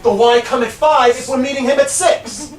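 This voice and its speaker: Male actor as evasive, contrary schemer in a live play: terse, dry replies in a high voice, distant mic. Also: moderate pace. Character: evasive, cagey, contrary man in a shady partnership. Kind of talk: live stage play, clipped absurdist banter. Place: small live venue in a bar, far microphone. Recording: distant roomy live recording, noisy.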